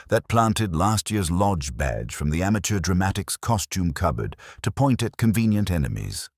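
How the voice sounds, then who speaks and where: Here is a man playing a mild and shrewd detective inspector from a mystery story. The voice is very low and dry, with animated rises and falls. Each phrase is deliberate and theatrical as the culprit is exposed. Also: dry recording, exaggerated voice. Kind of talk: dramatised mystery story dialogue.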